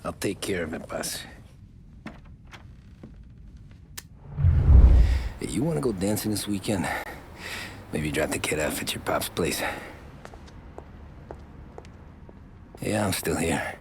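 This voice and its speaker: A man's voice: sits low, lively intonation, moderate pace, noisy background. A man playing a loyal, weary mafia enforcer in a cinematic video game. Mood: calm, dutiful. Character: loyal, weary mobster enforcer narrating his story.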